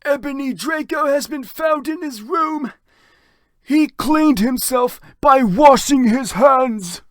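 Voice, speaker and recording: A man voicing an angry old wizard headmaster, shouting in long stretched-out words. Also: very high-pitched, slow pace, dry recording, studio quality, voice pushed up. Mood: fiery outrage.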